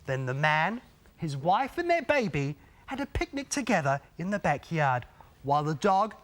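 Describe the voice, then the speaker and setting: A man reading a children's storybook aloud in a theatrical way, drawing out phrases and using dramatic pauses. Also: on the high side, sing-song. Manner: theatrical, with drawn-out phrases, gasps and dramatic hushes. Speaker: storybook reader.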